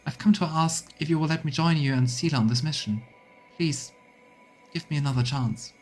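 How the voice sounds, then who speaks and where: A man's voice: on the high side, normal speed, soft background music, lightly acted. A man voicing an earnest young woman, a remorseful former deserter in a game, pleading with resolve. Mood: resolute pleading.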